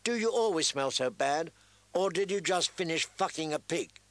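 A man's voice: a little high, animated, moderate pace, no room sound, voice pushed up, natural voice. A man voicing an arrogant young prince who mocks and threatens his captives. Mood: mocking. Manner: sneering, commanding.

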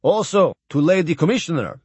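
A man's voice: on the high side, sing-song, brisk, a little mellow, husky, no room sound, voice pushed up. Man doing a proud old admiral, clipped and raised. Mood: ceremonious. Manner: clipped speech dropping articles. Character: proud old male admiral, formal, slightly drunk.

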